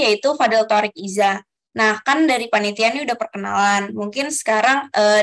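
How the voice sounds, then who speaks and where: A young woman leading an online group discussion as facilitator, politely reading out rules one by one with soft fillers over a video call. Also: a little high. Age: young adult.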